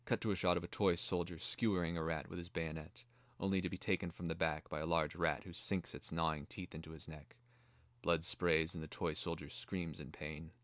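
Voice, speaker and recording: A man narrating a screenplay as a scripted dramatic reading, in a measured way. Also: sits low, a bit bright, no echo.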